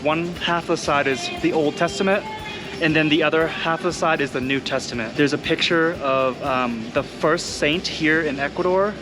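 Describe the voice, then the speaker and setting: Chatty man vlogging over music. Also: fairly high pitch. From Thailand. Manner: chatty, loose, full of "like" and "you guys". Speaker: travel vlogger. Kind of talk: casual travel vlog. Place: outdoors in city streets.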